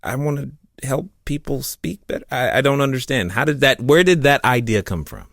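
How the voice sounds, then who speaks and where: A man's voice: mid-range voice, moderate pace, gravelly, a bit bright, no room sound, studio quality. A man from the American South hosting a podcast interview, casual and curious, laughing easily. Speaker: podcast host.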